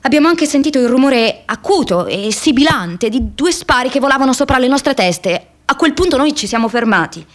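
A woman's voice: high-pitched, expressive, brisk, hardly any pauses. An actress playing an idealistic young American woman activist in a radio theatre monologue, telling her diary expressively in the first person. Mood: grave recounting. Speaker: stage actress.

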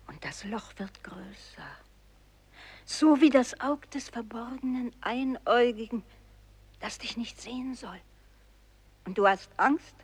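A woman's voice: a little high, slightly rough, dry recording, exaggerated voice. An actress playing an anxious old village woman in a poetic radio drama, incantatory, moving between whispers and outbursts.

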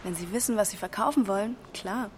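A woman's voice: medium pitch, quick pace, darkish tone, slight background noise, close to an everyday voice. An actress playing a cool, shrewd woman in her twenties in a radio drama, direct and challenging in natural conversation. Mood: self-assured. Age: 20s.

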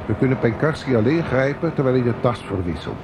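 An actor playing a stern, impatient security chief in a radio play, curt and sardonic in manner. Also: male voice, medium pitch, expressive, fast, close to an everyday voice. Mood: tense, irritated. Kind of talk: Dutch radio play acting. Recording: noisy radio play with vehicle sounds.

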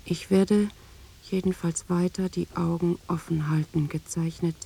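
A woman's voice: on the low side, steady tone, a little slow, gravelly. An actress playing a wary wife who informs in secret, reading her report in a restrained, matter-of-fact way as a radio drama monologue. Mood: guarded, suspicious.

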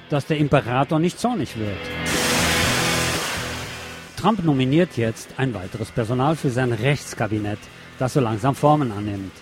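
A man's voice: mid-range voice, darkish tone. A man hosting a radio show, reading news articles aloud in a sardonic way with mocking asides.

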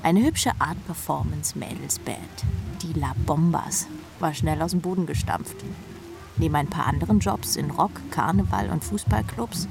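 A woman's voice: low-pitched, normal speed, vocal fry. A woman playing a sardonic rock drummer who tells her misadventures in a dry, self-mocking, slangy way as radio drama narration. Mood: wry nostalgia. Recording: music under the voice.

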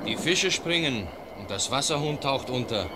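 A man's voice: medium pitch, animated, normal speed, heavy background noise, close to an everyday voice. An actor playing an observant, inquisitive country fisherman in a classical radio drama. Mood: observant, friendly.

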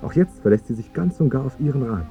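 An actor playing a courteous, guileless fiancé who talks in an eager, earnest and tactless way in a radio drama. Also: male voice, medium pitch, animated, fast talker, mellow, close to an everyday voice. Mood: admiring, earnest. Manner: polite, chatty, earnest. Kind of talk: 1950s German radio drama acting. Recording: dull 1950s radio recording with music.

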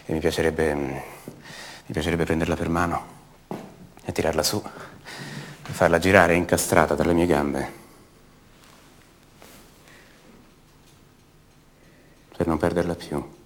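An actor playing the brooding adult son of a disappeared woman, speaking haltingly and intimately in a radio theatre monologue. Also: a bass voice, flat delivery, slight background noise. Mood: tender longing. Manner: halting, repeats words, intimate.

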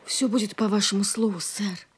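A woman's voice: medium pitch, fairly even intonation, moderate pace, noisy background, natural voice. An actress playing a devoted young boy squire in an audio play, speaking earnestly and dutifully to the king. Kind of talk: Soviet audio play acting.

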